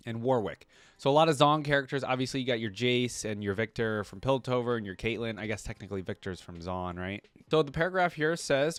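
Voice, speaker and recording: A man's voice: medium pitch, dry recording. A man hosting a reaction video, mixing casual, enthusiastic commentary with reading aloud and his own interjections. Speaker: video host reacting to game character lore.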